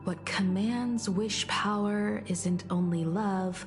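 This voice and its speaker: Slow, solemn resolute young heroine. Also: female voice, medium pitch, steady tone, soft background music. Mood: thoughtful. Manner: measured, solemn. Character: calm, resolute grown daughter, solemn and thoughtful. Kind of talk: video game character acting.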